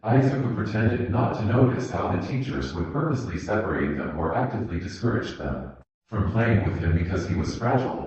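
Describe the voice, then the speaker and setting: A man's voice: low voice, a little mellow. A man reading fan fiction aloud as a narrator, in a scripted audiobook style. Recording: muffled, very echoey room recording.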